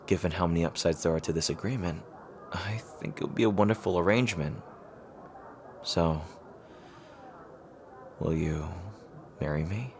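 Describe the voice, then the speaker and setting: A man playing an earnest, lovestruck rival king in an intimate romantic audio roleplay, speaking haltingly and hesitantly. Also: sits low, a little mellow, slight background noise, close to an everyday voice. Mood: earnest, hopeful.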